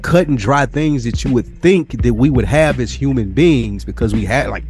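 A man's voice: mid-range voice, lively intonation, normal speed, a little mellow, soft background music. A man co-hosting a podcast in casual, opinionated chat, speaking emphatically with slang and free swearing. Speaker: podcast co-host.

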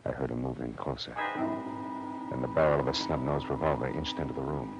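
A very low-voiced tough American private eye from an old noir radio drama. He speaks in clipped, wry phrases, with prominent music playing behind him in the broadcast. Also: fairly even intonation, brisk, poor audio. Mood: tense, wry narrating. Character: tough, hard-boiled male private eye and narrator. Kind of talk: 1940s radio acting, first-person noir narration.

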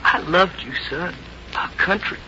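A dying young soldier, weak and gasping, speaks with slow, halting fervour. The voice is humble and folksy, set in a muffled 1950s radio drama with clear background noise. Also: male voice, sits high, sharp tone, full character voice. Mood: dying, fervent. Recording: muffled, noisy old radio broadcast.

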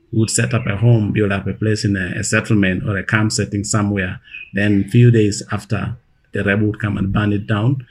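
A man in his thirties with a Ugandan accent, speaking as an interview guest in an earnest, measured way and repeating phrases for emphasis. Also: on the low side, slight background noise, rough recording. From northern Uganda. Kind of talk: recalling his life in an interview.

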